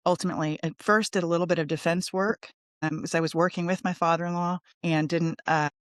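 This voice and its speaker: A woman's voice: fairly low pitch, steady tone, fast talker, no room sound. Podcast guest: American woman, middle-aged, conversational storyteller, candid and informal, dry close recording. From Texas, United States. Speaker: podcast guest, lawyer and coach.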